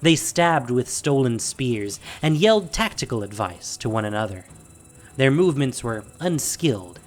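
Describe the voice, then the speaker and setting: A man narrating a scripted fantasy audio drama in a dramatic storytelling style. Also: medium pitch.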